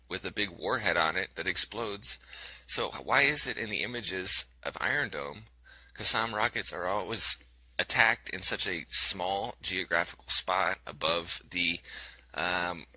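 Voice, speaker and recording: Man, low voice, dry close sound, skeptical, sarcastic, halting fillers. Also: pushed and bright, no echo, rough recording. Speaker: commentator. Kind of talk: scripted video commentary.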